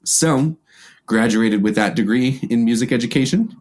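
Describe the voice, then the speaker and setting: A man's voice: medium pitch, moderate pace, darkish tone. An American man speaking as a podcast guest, casually recounting his background in an interview. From United States. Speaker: podcast guest, violinist and music teacher.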